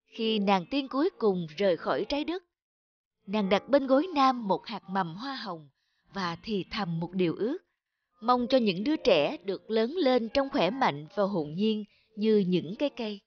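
A woman's voice: mid-range voice, expressive, a little slow, lo-fi sound. A woman reading scripted storybook narration as the narrator of a children's tale.

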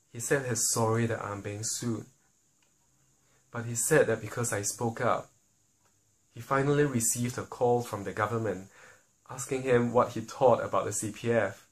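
Guy pleading with people, earnest, goes soft and slow on the big lines. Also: medium pitch, rough recording. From Singapore. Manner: earnest, pleading, slows and drops quiet on key lines. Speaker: activist appealing to the public. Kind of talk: earnest public appeal.

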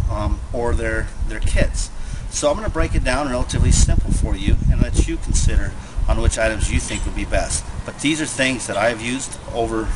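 Man, middle pitch, matter-of-fact how-to host, self-correcting, outdoor recording, clear road noise behind. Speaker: host showing survival gear. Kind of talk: unscripted how-to talk to camera.

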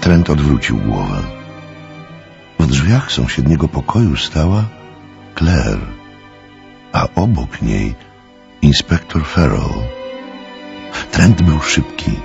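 A man's voice: a bass voice, big pitch swings, slow, creaky, long pauses, darkish tone, lo-fi sound, faint music behind. A man narrating a radio play in a scripted storytelling style.

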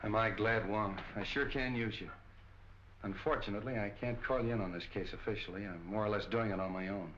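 A man plays a gruff American police captain in a vintage crime film. He talks low, blunt and terse, and the old soundtrack is dull and faintly hissy. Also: fairly even intonation, a little fast, fairly bright tone, natural voice. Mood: earnest. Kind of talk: 1940s film acting.

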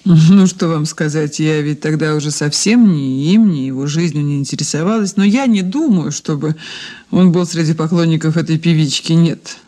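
A woman's voice: sits low, normal speed, warm tone. An actress playing a refined, evasive society lady under questioning in a Soviet radio play, with genteel, sighing, hesitant speech. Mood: cool, evasive.

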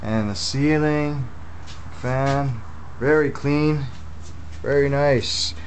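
A man hosting a casual walk-through tour of a waterfront restaurant and bar, talking into a handheld camera. He sounds friendly and admiring, with plenty of fillers. Clear outdoor noise sits under his voice. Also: medium pitch, drawn-out words. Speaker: tour host filming a restaurant.

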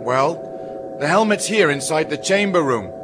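Slow-talking grave moon base captain acted by man. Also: on the high side, animated, noisy background. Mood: businesslike, puzzled. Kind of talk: radio drama acting.

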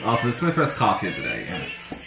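A slightly hoarse young man chatting excitably to camera in his bedroom, talking to himself as he reacts to music. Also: on the low side, quick pace. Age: young adult. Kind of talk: casual reaction chat. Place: bedroom at home, indoors. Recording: roomy bedroom with faint music. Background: soundtrack music playing faintly.

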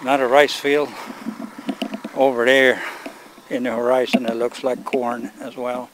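Older male vlogger, hoarse and fading voice, relaxed rambling, handheld camera outdoors, faint background noise. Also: mid-range voice, measured pace. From Sweden. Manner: relaxed, rambling, talks to viewers while walking. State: losing his voice. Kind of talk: casual walking vlog. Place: outdoors on a rural road among rice fields. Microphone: handheld camera on a selfie stick.

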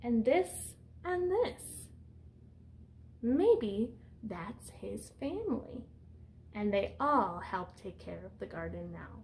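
A woman's voice: mid-range voice, sing-song, takes it slowly, a little mellow, a little hiss or hum. A woman in her thirties reading a picture book aloud as a teacher, explaining simply and putting questions to young children. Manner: instructive, simple phrasing aimed at young children. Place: small room indoors.

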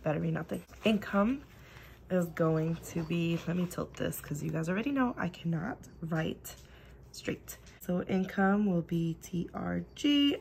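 Bubbly woman, a little hoarse. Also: on the low side, a little hiss or hum. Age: 30s. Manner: bubbly, gushing, repeats herself. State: slightly hoarse from a cough. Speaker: budgeting channel host. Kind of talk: casual vlog chat. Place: at home, at a table.

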